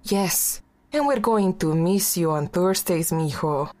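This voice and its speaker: A woman playing a warm, motherly support-group member who scolds tenderly and slips into in an audio drama. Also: lowish voice, lively intonation, slow. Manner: switches into Spanish, tender scolding.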